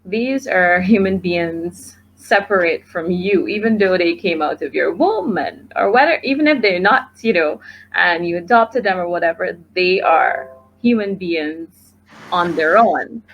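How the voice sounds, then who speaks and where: A woman's voice: lowish voice, animated, a little slow. Guyanese lady guest chatting on a video call, dull muffled audio, some background noise. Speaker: interview guest, makeup artist. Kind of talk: casual chat.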